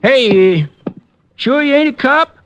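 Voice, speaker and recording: A man playing a terse, deadpan old boat landing keeper in a radio drama. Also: extremely high pitch, slow, no room sound, exaggerated voice. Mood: laconic. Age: older. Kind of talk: 1950s radio acting. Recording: dull old radio recording.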